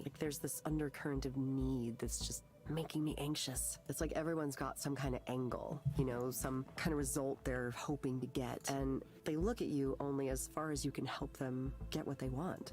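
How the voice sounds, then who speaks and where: A frustrated young artist in a visual novel, worn out and confiding. Her voice sits low, with a restless, bitter edge. Faint music plays underneath. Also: normal speed, few pauses, darkish tone. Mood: restless, disillusioned. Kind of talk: naturalistic visual novel game voice acting.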